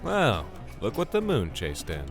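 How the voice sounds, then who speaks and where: A flirty, laid-back guy in an audio drama, joking and playful. His deep voice moves around a lot, with music clearly behind it. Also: huge pitch swings, a little slow, heavily acted. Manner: playful, teasing.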